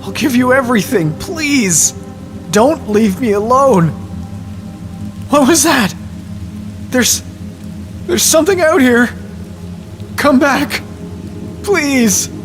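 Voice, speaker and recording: A man's voice: very high voice, expressive, takes it slowly, pauses often, faint music behind, voice pushed up, heavily acted. A man voicing a terrified, injured boy scout in a horror story, pleading in desperate wails. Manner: desperate, wailing pleas.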